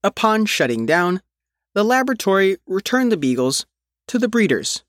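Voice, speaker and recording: A man's voice: sits high, sing-song, moderate pace, a bit bright, no echo. Man reading news, dry close sound. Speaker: reporter reading their own written article. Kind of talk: scripted news narration.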